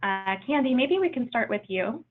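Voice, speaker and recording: A woman's voice: on the high side, animated, normal speed. A woman moderating a webinar, thanking her guests often and posing questions in an appreciative manner. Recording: tinny phone-like line.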